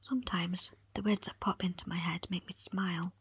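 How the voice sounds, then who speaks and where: A woman narrating a first-person story as an ASMR audiobook reader, in a breathy, unvoiced whisper. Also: fairly low pitch, steady tone, moderate pace, no room sound.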